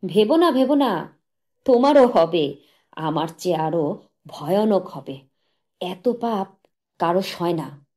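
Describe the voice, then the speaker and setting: A woman voicing a bitter, diseased husband in an audiobook, jealous and accusing, speaking loudly and emphatically. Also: lowish voice, lively intonation, takes it slowly, long pauses. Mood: vengeful fury. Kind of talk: dramatised audiobook reading.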